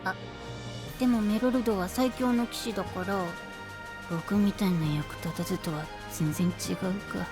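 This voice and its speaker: A man's voice: high voice, steady tone, a little slow. A man voicing a boyish, candid, self-deprecating young knight in an anime-style voice drama, with casual, changeable delivery. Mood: musing, self-deprecating. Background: prominent background music.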